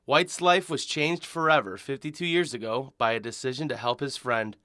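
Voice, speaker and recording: A man's voice: mid-range voice, moderate pace, sharp tone, no room sound, clean studio sound. A man with an American accent reading scripted narration as a television news reporter.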